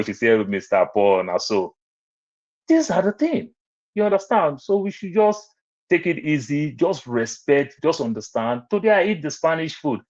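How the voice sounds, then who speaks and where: A man with a Nigerian accent, rambling through personal stories on an online panel. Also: medium pitch. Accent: Nigerian English. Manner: rambling, storytelling, repeats phrases. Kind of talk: casual online panel talk. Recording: muffled lo-fi online audio.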